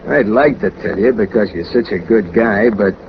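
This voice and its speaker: A man's voice: on the low side, normal speed, natural voice. An actor playing a lonely, businesslike hired killer in 1940s radio crime drama, talking in casual, ungrammatical tough-guy fashion with matter-of-fact menace. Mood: chatty, coolly menacing. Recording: dull, noisy old 1940s radio recording.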